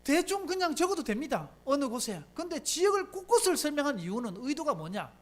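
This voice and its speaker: A pastor delivers a Sunday sermon in a church. The pitch rises and falls a great deal, and the delivery keeps throwing rhetorical questions at the congregation and answering them. The tone stays conversational and emphatic throughout. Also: very animated, brisk. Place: church sanctuary.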